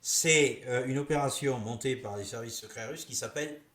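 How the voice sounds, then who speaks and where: A man's voice: medium pitch, normal speed, fairly bright tone. A man from Gascony, a Gascon speaker, talking as a show guest in an earnest, insistent way. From Gascony, southwest France. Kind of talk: call-in show talk.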